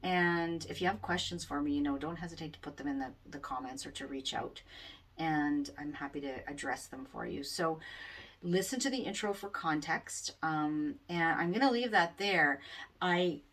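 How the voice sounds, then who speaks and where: A woman astrologer giving a casual forecast, rambling with many fillers, in a loud voice picked up by the camera mic. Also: low-pitched, moderate pace. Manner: rambling, many ums and you-knows. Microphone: camera mic, microphone not plugged in. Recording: distant sound from unplugged mic.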